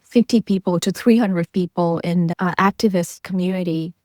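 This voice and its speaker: A woman's voice: on the low side, moderate pace, a little mellow, dry recording, clean studio sound. A young Vietnamese woman speaking English with a Vietnamese accent, telling her story as an interview guest in an earnest, reflective way, often adding "like". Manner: earnest, reflective, frequent "like" fillers. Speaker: guest, journalist and researcher.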